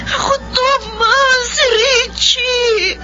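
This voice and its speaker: A woman's voice: extremely high pitch, dull audio. A Filipino woman acting in a radio drama as an emotional youngest adult child devoted to a forgetful mother. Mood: tearful, pleading. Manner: emotional, drawn-out, pleading delivery. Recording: band-limited radio drama audio under loud music.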